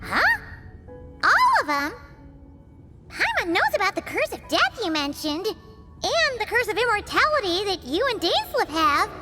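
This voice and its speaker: A tiny, chirpy fairy companion in a game, her voice piping very high and bouncing all over the place. She refers to herself in the third person, and loud music plays behind her. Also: wildly swooping pitch, a little slow, long pauses, full character voice. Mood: curious. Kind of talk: video game cutscene voice acting.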